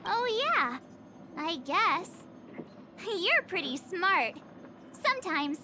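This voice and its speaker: Game role: bubbly, teasing young woman, very high and bouncy. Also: sing-song, very slow, noisy background, heavily acted. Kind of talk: video game voice acting. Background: game music.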